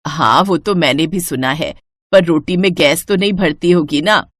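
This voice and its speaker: Lady doing an older village saas, low voice, mild n naive, homely, storybook style. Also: normal speed, no room sound, clean studio sound. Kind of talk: storybook acting.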